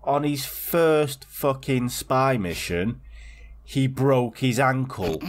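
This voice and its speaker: A man's voice: mid-range voice, takes it slowly. A man co-hosting a casual true-crime podcast, telling stories in a rambling way, swearing and laughing as he goes. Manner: rambling storyteller, swears, laughs mid-sentence. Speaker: podcast co-host.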